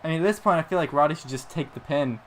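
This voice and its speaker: A man's voice: a little high, very fast, a bit bright. A man giving casual, playful commentary on a wrestling game, often laughing. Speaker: gaming commentator. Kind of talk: casual let's-play commentary.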